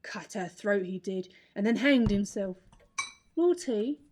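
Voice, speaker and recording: An actress playing a gossipy country innkeeper in a radio drama, blunt, folksy and unhurried. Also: female voice, mid-range voice, animated, a little mellow, a little hiss or hum, natural voice. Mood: grim gossip. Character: gossipy country innkeeper woman, matter-of-fact, morbidly frank.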